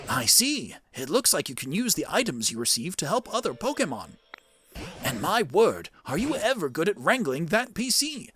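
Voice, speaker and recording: A man's voice: fairly high pitch, pushed and bright, slight background noise. A man voicing a kindly old professor creature in a game, genteel and full of folksy wonder.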